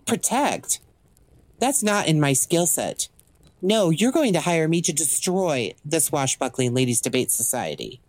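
A woman's voice: low voice, big pitch swings, unhurried, darkish tone, full character voice. A woman acting in an audio drama as a cocky legendary assassin and thief for hire, with smug, theatrical, drawling taunts. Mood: scornful, commanding.

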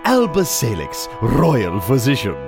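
An actor playing a formal royal herald making stately, ceremonial announcements in an audio drama. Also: male voice, mid-range voice, wildly swooping pitch, measured pace, creaky, full character voice. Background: loud brass fanfare music.

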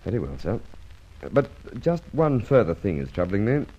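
Low-voiced, dogged police inspector. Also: male voice, big pitch swings, mellow. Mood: courteous, suspicious. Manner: courteous, probing, measured phrasing. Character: polite, dogged, suspicious Scotland Yard police inspector. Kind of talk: old radio drama acting. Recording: muffled old radio drama recording.

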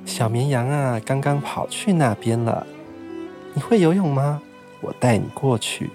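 A man voicing a calm, helpful swan gentleman who speaks slowly and softly. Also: mid-range voice, over music, close to an everyday voice.

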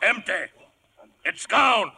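A man's voice: high-pitched, big pitch swings, slow, vocal fry, heavy background noise, exaggerated voice. A male actor playing an angry, accusing mutinous pirate, agitated, in 1950s radio acting. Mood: furious.

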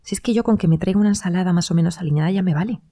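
A woman's voice: fairly low pitch, racing, warm tone, close to an everyday voice. An actress playing a bossy, teasing, health-minded young woman in conversational audio comedy. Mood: eager, instructing. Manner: conversational, correcting, assertive. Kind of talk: Spanish-language audio fiction comedy acting.

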